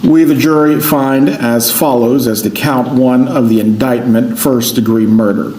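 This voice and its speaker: A male judge with an American accent speaks formally and deliberately in a mellow, relaxed tone. His voice carries the roomy echo of a courtroom, with faint noise underneath. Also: medium pitch. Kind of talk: formal courtroom proceedings, reading a verdict. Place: indoor courtroom.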